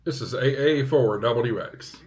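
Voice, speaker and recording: A man talking casually to viewers as a vlog host, in an unhurried, halting way with short phrases. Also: medium pitch. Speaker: vlog host, ham radio hobbyist.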